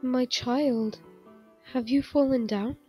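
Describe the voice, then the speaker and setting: Gentle motherly monster, acted by a woman, caring, fairly high voice, unhurried, audio drama, music behind. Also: fairly even intonation. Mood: worried, tender. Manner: caring, concerned. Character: gentle, worried motherly female monster caretaker. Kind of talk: fan audio drama acting.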